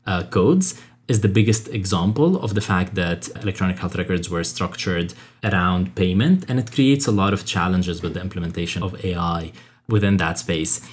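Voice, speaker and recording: A man's voice: deep voice, moderate pace, breathy edge. A man co-hosting a podcast interview, enthusiastic, with frequent "like" and restarts. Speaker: podcast co-host. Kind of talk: conversational interview.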